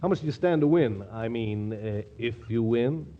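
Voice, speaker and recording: An actor playing a brash, dim, jovial young ex-athlete fiancé in a 1940s radio comedy. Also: male voice, medium pitch, expressive, normal speed, dull audio, slight background noise, close to an everyday voice. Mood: easygoing. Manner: blustery, chuckling at his own wit. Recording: vintage 1940s radio broadcast.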